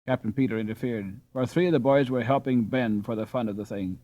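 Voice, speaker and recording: A man narrating a children's classic as an audiobook, with expressive scripted delivery. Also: mid-range voice, brisk.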